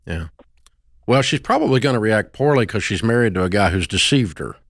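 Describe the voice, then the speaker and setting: A man's voice: lowish voice, lively intonation, brisk, a bit bright, dry recording, studio quality. A man hosting a radio advice show, bluntly scolding a caller in an incredulous tone.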